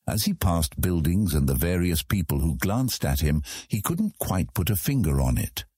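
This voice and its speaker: Deep, husky male fantasy narrator. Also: very animated, normal speed, mellow, dry recording, studio quality. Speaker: audiobook narrator.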